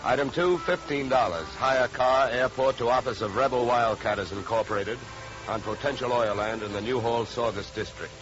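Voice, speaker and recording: An actor playing a wry, hard-boiled insurance investigator in a 1950s American radio drama, narrating and talking in a dry, clipped, wisecracking way. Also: male voice, medium pitch, normal speed, over music, lightly acted. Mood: brisk, dry. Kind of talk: 1950s radio detective drama, first-person narration and dialogue. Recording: dull, lo-fi 1950s radio recording.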